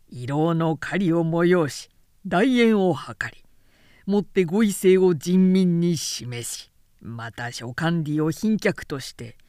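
Woman voicing a smooth scheming male court advisor. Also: fairly low pitch, a little mellow, no echo, studio quality. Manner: formal, deferential. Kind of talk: literary audiobook reading.

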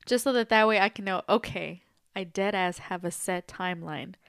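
A young woman co-hosting a podcast, talking stuffy from allergies in an animated, casual, swearing way. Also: medium pitch, normal speed, creaky, clear, resonant tone, no room sound, studio quality. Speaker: podcast co-host. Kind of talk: casual chat.

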